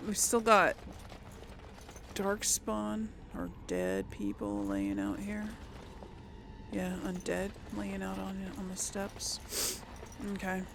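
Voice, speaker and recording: Lady doing a chill game playthrough, takes her time, creaky, pitch moves around, thinking out loud. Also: animated, slow pace, pauses often. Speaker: let's play host. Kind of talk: casual game playthrough commentary. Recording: faint background game noise.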